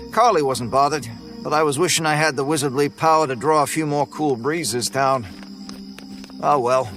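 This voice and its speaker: Guy telling a spooky story, laid back folksy tone, soft music underneath. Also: medium pitch. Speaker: narrator. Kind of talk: first-person horror story narration.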